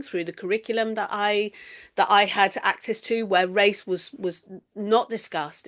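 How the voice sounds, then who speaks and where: A middle-aged woman from the East Midlands with an English accent, hosting a reflective solo podcast in an earnest, deliberate way with emphatic pauses. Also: mid-range voice. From East Midlands, England. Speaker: podcast host.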